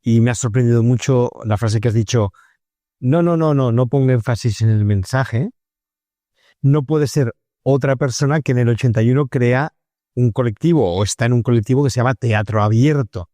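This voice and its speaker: Halting male interviewer from Spain, dry sound. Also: mid-range voice, mellow, no echo. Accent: Peninsular Spanish. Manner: halting, searching for words, seeking agreement. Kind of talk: conversational interview.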